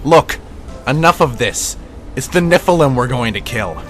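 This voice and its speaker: A man playing a level-headed military pilot in a sci-fi audio drama, measured and pragmatic. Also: medium pitch, expressive, fairly bright tone, faint music behind, close to an everyday voice. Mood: firm.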